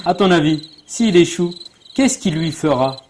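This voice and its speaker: A man playing an anxious, imperious rich lord and father, quick to anger, in a dramatic animated religious story. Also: on the high side, slight background noise. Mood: anxious, tense. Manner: commanding, dramatic, accusing. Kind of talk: French-language animated religious story dub.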